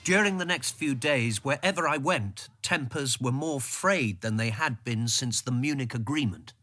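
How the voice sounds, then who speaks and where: Bright-voiced, articulate storytelling civil servant played by a man. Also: medium pitch, normal speed, soft background music, natural voice. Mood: reflective. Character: reflective male civil servant telling his story. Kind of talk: scripted first-person radio drama narration.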